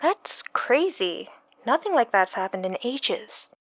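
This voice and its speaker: An actress playing a brisk, guarded young woman, heard through a telephone receiver in an audio book. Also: on the high side, big pitch swings, background noise. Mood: matter-of-fact, concerned. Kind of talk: audio book character acting.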